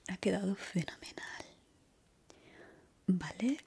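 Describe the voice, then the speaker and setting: A young adult woman with an accent from Spain whispers a soothing, unhurried ASMR barber roleplay in a breathy, reassuring way, close to the microphone. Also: medium pitch, lively intonation, a little mellow, slight background noise. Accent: Spanish, from Spain.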